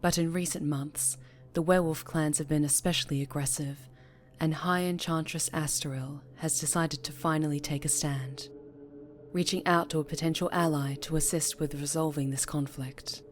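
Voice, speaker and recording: A woman storyteller giving scripted fantasy ASMR narration in a hushed, measured, dramatic way. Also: sits low, fairly even intonation, soft background music.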